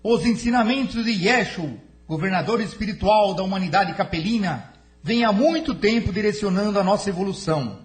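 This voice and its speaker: A man acts out a dignified delegate in an audio drama. His voice is high for a man. He delivers an earnest, solemn address with formal phrasing. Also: fairly quick, lightly acted. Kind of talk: spiritist audio drama acting.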